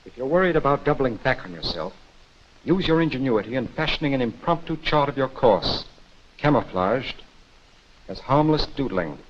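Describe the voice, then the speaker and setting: An American actor playing a composed intelligence instructor in a 1940s training film, speaking in a measured, instructive way. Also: male voice, medium pitch, lively intonation, close to an everyday voice. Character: composed male intelligence instructor briefing an agent. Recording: dull, muffled 1940s film soundtrack.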